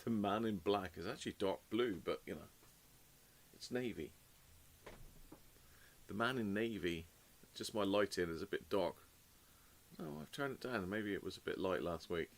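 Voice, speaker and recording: Chatty man, hoarse with a cold. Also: deep voice, expressive, moderate pace, pauses often. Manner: chatty, rambling, greets viewers by name. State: slightly hoarse with a cold and cough. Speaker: host of a live radio repair stream. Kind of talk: casual live-stream chat greeting viewers. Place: home workshop. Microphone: desk microphone.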